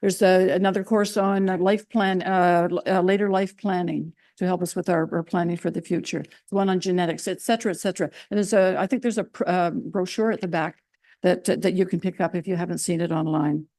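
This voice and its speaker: A woman's voice: fairly low pitch, steady tone, brisk, darkish tone. A woman hosting a seniors' college event, announcing courses and introducing the speaker in a chatty way with small restarts.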